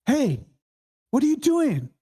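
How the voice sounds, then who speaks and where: A woman's voice: sits high, huge pitch swings, slow pace, mellow, full character voice. A woman playing an urgent firefighter bellowing orders in a disaster, at a screenplay table read. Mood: alarmed.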